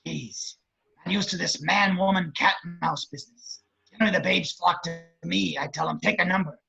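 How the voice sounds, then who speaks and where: A man playing a crude, lecherous, scheming villain running for mayor, with a very raspy voice and wisecracking delivery, in an amateur script read. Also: high-pitched, fairly even intonation, normal speed, sharp tone, highs cut off, full character voice. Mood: smug scheming. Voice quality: very raspy, gravelly. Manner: leering, wisecracking.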